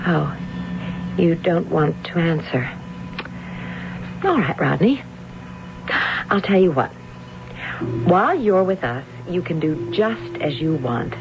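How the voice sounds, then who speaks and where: An actress playing a caring, anxious wife who is gentle and patient, in an old-time radio drama. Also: female voice, lowish voice, expressive, slow pace, long pauses, music in the background. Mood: soothing, patient. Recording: muffled noisy old radio drama recording.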